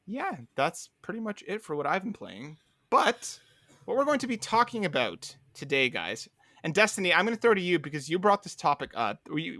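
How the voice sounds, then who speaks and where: A man's voice: a little high, pushed and bright, no room sound, clean studio sound. A man hosting a podcast, chatting casually about video games in a rambling, enthusiastic way. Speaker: podcast host.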